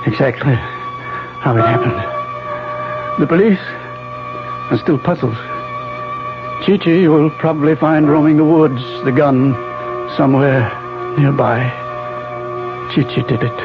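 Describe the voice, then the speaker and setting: A man acting in a 1970s radio drama, playing a gravely wounded professor who tells his story in halting, dramatic phrases. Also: on the high side. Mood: weary, halting. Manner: dramatic, halting first-person narration. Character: gravely wounded male professor recounting a violent attack. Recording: 1970s radio recording, muffled, music behind. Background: loud dramatic music.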